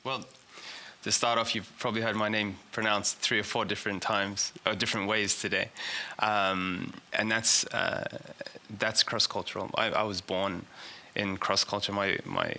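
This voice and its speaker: A man who grew up in England and Saudi Arabia, a business strategist, answering interview questions haltingly with many restarts. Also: fairly low pitch, vocal fry, sharp tone. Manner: halting, full of restarts and fillers.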